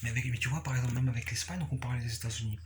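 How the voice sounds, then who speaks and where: A man giving a casual, unscripted explanation, repeating himself haltingly and often checking that the listener follows. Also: mid-range voice, slight background noise. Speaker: capoeira practitioner sharing views.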